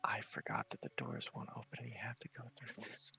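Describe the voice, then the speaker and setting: A man's voice: on the low side, steady tone, brisk, creaky, pushed and bright, dull audio. A man giving casual ASMR gameplay commentary, often whispering as he thinks aloud. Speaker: game streamer.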